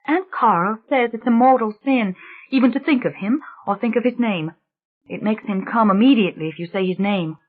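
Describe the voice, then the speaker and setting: A woman's voice: on the high side, voice pushed up, lightly acted. A woman voicing a frightened young girl who pleads in an anguished, tearful rush. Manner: anguished rushing pleading with sobs. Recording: dull lo-fi recording.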